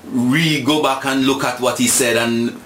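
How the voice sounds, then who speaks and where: A Jamaican man in his sixties speaks slowly and reflectively, often repeating words as he works out what he wants to say. His voice sits at an ordinary pitch. It is recorded in an echoey room with a faint ambient hum behind it. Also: fairly even intonation, fairly bright tone. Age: 60s. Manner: thoughtful, repeats words while searching. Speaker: guest. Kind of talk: reflective storytelling.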